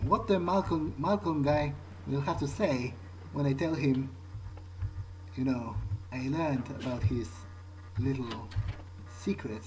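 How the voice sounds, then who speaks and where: Slow, musing man with noisy background. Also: medium pitch. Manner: musing, halting, murmured asides to himself. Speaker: gameplay video player. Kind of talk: in-character game playthrough, thinking aloud.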